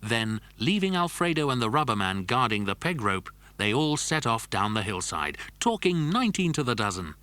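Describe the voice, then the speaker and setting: A man's voice: medium pitch, sing-song, moderate pace, hardly any pauses, pushed and bright, no echo. A man narrating a scripted children's adventure story.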